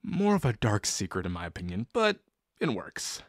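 A man's voice: fairly low pitch, very animated, no echo, studio quality. A man playing a shy, sincere young guy in love with his best friend, speaking hesitantly and intimately to the listener in an audio roleplay. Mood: casual, teasing. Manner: hesitant, halting, full of pauses and sighs. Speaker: voice actor.

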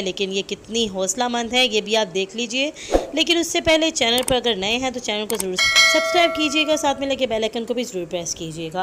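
A woman's voice: a little high, background noise. A woman with a Pakistani Urdu accent narrating celebrity entertainment news in a chatty voice-over, repeating herself and slipping into English phrases. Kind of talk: voice-over commentary on celebrity clips.

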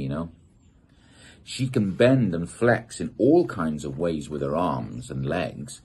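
Deep-voiced man reading a storybook playfully. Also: slightly rough. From United Kingdom. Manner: playful, expressive storytelling to children. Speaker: storyteller reading a children's book. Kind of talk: reading a picture book aloud. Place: outdoor garden gazebo.

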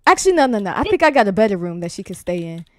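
A woman's voice: mid-range voice, very animated, racing, no echo, lightly acted. A woman playing a reluctant, flustered granddaughter in an improvised game roleplay, slangy and repeating herself. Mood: awkward. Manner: slangy, dramatic, stammering repetition.

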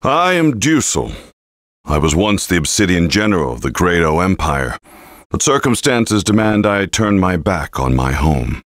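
A man voicing a proud old male general and veteran mentor in a video game, gruff and commanding. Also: low-pitched, sing-song, moderate pace, a bit bright, no room sound, clean studio sound. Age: older. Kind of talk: game voice clips.

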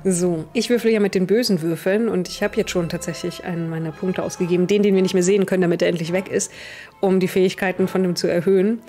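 Young woman, game master, improvised narration, medium pitch, faint background music. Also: dark tone. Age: young adult.

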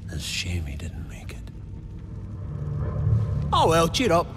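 Brash, wildly animated treasure hunter. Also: male voice, on the high side, slow pace, full character voice. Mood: callous, impatient. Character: brash, greedy, callous male treasure hunter. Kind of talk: cinematic video game acting. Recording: loud dramatic music bed. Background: loud cinematic music.

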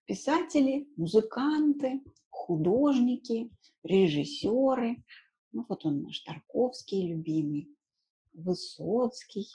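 A woman in her thirties gives an informal language lesson in a soft-edged, husky voice. Her tone is mellow and her pace is slow. She explains things simply, repeats key words and checks in with short tag questions. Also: a little high, expressive, low-quality recording. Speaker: language teacher. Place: small room indoors at home.

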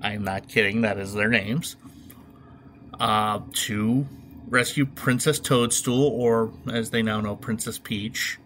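A man's voice: on the low side, steady tone, slow, a bit bright, slight background noise. A middle-aged man hosting a casual, comedic movie review podcast in an opinionated, wry, informal way. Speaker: podcast host.

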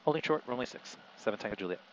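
Low-voiced man on a muffled cockpit headset. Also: animated, very fast, fairly bright tone. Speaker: pilot and vlogger. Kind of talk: cockpit narration and radio readbacks. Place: small airplane cockpit. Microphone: aviation headset boom mic. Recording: muffled headset audio with engine noise.

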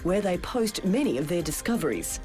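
A woman reporter reading a scripted current-affairs voice-over in a measured, dramatic storytelling way. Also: fairly low pitch, very animated, dark tone, soft background music. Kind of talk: scripted news narration. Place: studio voice-over.

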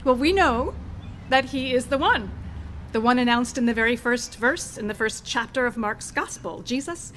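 A middle-aged woman pastor preaching a sermon in an outdoor church garden, speaking into a stand mic at a lectern with expressive pauses. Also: fairly high pitch, slight background noise.

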